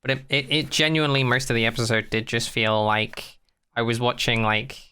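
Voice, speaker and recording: A man's voice: mid-range voice, little pitch movement, moderate pace, pushed and bright, clean tone. A man co-hosting a podcast, chatting casually about sci-fi in a jokey, rambling, sweary way. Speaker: podcast co-host. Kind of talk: casual comic banter about a sci-fi show.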